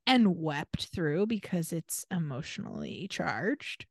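A woman's voice: fairly low pitch, very animated, takes it slowly, husky, dry recording, studio quality. An American woman co-hosting a casual podcast, telling stories in an irreverent, exasperated way with emphatic asides. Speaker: podcast co-host.

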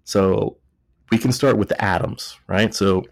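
A man's voice: lowish voice, steady tone, unhurried, vocal fry, no echo, clean studio sound. A man with a Texan accent hosting a podcast, a few beers in, rambling and joking as he corrects himself. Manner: rambling, jokey, self-correcting. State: tipsy from beer. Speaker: podcast host. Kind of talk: casual joking chat.

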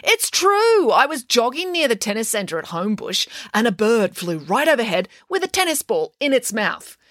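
A woman voicing an excitable, indignant middle-aged mother who tells tall tales in an audiobook, with dramatic emphasis. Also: on the high side, normal speed, no echo, studio quality, pitched above the performer's own voice. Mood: insistent. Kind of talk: audiobook character dialogue.

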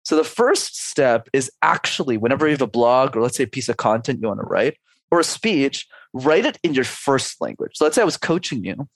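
A man in his twenties or thirties coaches with energy, laying out his advice in clear numbered steps. His voice sits at a middle pitch, and the sound is dry and close, with no room echo. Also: expressive, fairly quick. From Canada. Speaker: speech coach. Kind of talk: podcast interview conversation.

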